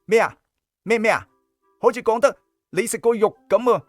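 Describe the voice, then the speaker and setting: High-voiced, brash Cantonese small-time gangster played by a man. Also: normal speed, dry recording, faint music behind, natural voice. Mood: indignant. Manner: brash, needling. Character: pushy, thick-skinned small-time male gangster. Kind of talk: audiobook character acting.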